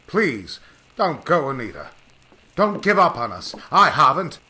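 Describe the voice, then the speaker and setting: An actor playing a heartbroken young aviator in an old-time radio drama, with a melodramatic, drawn-out delivery. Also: male voice, on the high side, big pitch swings, bright, forceful tone, full character voice. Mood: desperate pleading. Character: heartbroken, pleading young male aviator, old-fashioned leading man. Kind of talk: 1930s radio drama acting.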